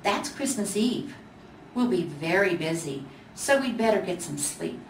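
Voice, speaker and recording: A woman's voice: fairly low pitch, animated, a little slow. A woman voicing a chatty little gingerbread boy ornament, proud and knowing, explaining things matter-of-factly in a storybook reading. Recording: echoey room, distant mic, faint noise.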